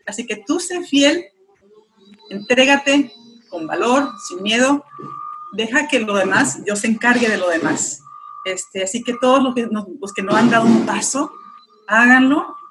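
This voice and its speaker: A woman's voice: a little high, a bit bright, slight background noise, poor audio. Earnest woman speaking Spanish. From United States. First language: English. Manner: earnest and encouraging, urgent appeals. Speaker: pro-life activist guest. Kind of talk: interview answer, exhorting listeners.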